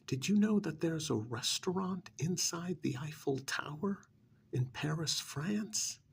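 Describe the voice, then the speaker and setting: Man playing a gravelly, unhurried smug vampire's familiar. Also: a little high, animated, exaggerated voice. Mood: boastful. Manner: conversational, cajoling, with rhetorical questions and pauses. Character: smug pampered vampire's familiar working as recruiter, persuasive, dismissive. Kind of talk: ASMR role-play monologue.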